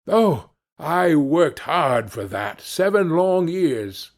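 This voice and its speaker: Man playing a gleeful, naive country lad, very lively. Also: fairly high pitch, slow, voice pushed up, exaggerated voice. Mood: plain, proud. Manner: exclamatory, earnest, gleeful. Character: cheerful, naive young peasant lad, easily pleased. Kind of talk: fairy-tale storybook character reading.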